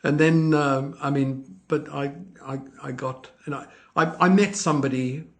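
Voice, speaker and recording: An older man, a winemaker guest speaking in a hesitant, halting way and often restarting his sentences. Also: on the high side, steady tone. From Italy, raised in Australia. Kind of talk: conversational interview.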